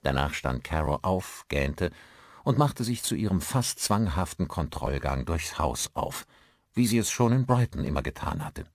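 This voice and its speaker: Very deep-voiced male audiobook narrator. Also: big pitch swings, moderate pace, dry recording, studio quality. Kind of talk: scripted narration of a horror crime novel.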